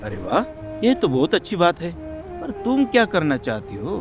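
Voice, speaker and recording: A man voicing an affectionate, supportive village husband in a cartoon story, reassuring and expressive. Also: a little high, normal speed, soft background music. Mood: curious. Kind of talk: cartoon moral story voice acting.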